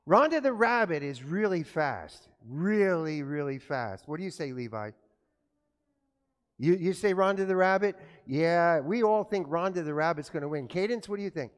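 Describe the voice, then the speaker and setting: A pastor giving a children's sermon in a church, telling an animated story and asking the children questions. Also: male voice, a little high, normal speed. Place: church sanctuary. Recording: echoey church from far off.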